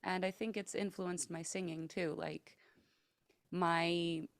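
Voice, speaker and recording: A chatty woman singer in a relaxed interview, her voice sitting a little low for a woman. She leans on plenty of fillers and quick laughs. The sound is dry and close, with no room around it. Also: vocal fry, no echo. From Greece and the United States. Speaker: metal singer, guest. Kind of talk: casual interview chat.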